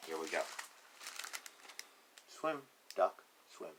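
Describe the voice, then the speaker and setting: A man's voice: sits low, very animated, slow pace, noisy background. A man reading a picture book aloud to young children, adding playful, simple explanations in casual asides.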